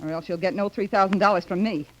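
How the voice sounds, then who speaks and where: An actress playing an impatient, scornful, hard-bitten wife in brusque 1930s radio drama style. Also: female voice, medium pitch, fairly even intonation, fast talker, lightly acted. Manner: brusque, scoffing. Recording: old 1930s radio recording, slight noise.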